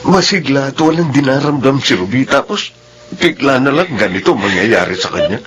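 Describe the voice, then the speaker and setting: A man's voice: mid-range voice, lively intonation, normal speed, fairly bright tone, close to an everyday voice. A man playing a frustrated, worn-out father in a Filipino radio drama, speaking in an exasperated, emphatic way. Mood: despondent.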